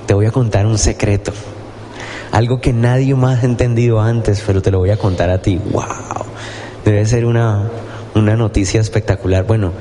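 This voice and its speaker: A man's voice: fairly low pitch, dark tone, slight background noise. A man preaching a sermon in a church, talking conversationally and asking his listeners questions. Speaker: pastor.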